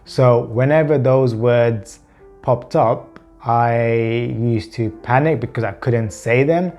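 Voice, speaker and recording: A man in his thirties speaks openly to the camera, his sentences broken by repeated words and blocks that he leaves in. His pitch sits in an ordinary middle range. Faint music plays behind him. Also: slow, a little mellow. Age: 30s. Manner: earnest, open, conversational. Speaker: vlogger. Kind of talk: personal vlog talking to camera.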